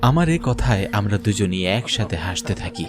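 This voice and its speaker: A man's voice: lowish voice, expressive, normal speed, music in the background. A man giving a scripted first-person narration of a horror story, as a government officer looking back. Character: haunted government officer recounting his mine visit.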